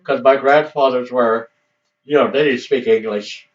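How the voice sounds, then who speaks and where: A man's voice: mid-range voice, steady tone, a little slow. Older man, Assiniboine first language, rambling grandfather storyteller, chuckles, distant dull home recording. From Montana, US. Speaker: grandfather telling family history. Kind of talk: casual storytelling.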